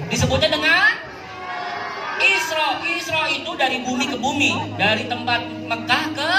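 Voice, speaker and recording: A woman telling a religious story to children, asking her listeners questions and repeating key words. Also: on the high side. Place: outdoor mosque yard event over loudspeakers. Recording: echoey PA, distant, with crowd and music.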